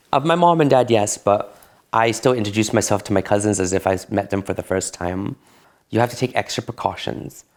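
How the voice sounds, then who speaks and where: Reflective man, somewhat low voice. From Barbados. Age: late 30s. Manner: candid, reflective, unhurried storytelling. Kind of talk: personal interview testimony.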